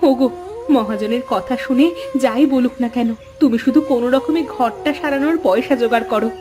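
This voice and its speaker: A woman playing a worried, kind wife from a poor village household in a cartoon moral story, speaking gently and coaxingly. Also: on the high side, a little fast, lightly acted. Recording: music behind the voice.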